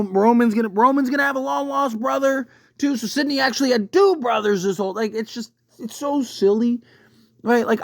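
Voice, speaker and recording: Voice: mid-range voice, no echo. Dry-sounding, emphatic casual video commentator. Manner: conversational, emphatic, repeatedly checking in with rhetorical questions. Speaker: YouTube host giving film commentary. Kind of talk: casual opinionated rant to camera.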